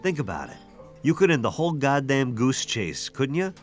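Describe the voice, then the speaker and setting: An actor playing an aging, stubborn police detective in a radio drama, terse and gruffly confident. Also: male voice, mid-range voice, big pitch swings, moderate pace, soft background music, natural voice. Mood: cocksure.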